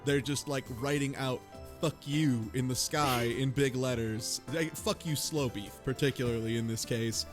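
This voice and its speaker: A man's voice: medium pitch, steady tone, normal speed. A man in a casual comedic discussion of a visual novel, sweary and sardonic, often acting out lines in mocking paraphrase. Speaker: book club podcast panelist. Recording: music playing behind the voice.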